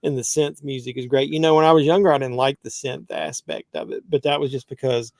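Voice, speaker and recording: A man in his fifties hosting a casual live movie chat, relaxed, reading viewer comments aloud. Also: medium pitch, brisk, vocal fry, no echo, studio quality. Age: 50s. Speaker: YouTube movie channel host.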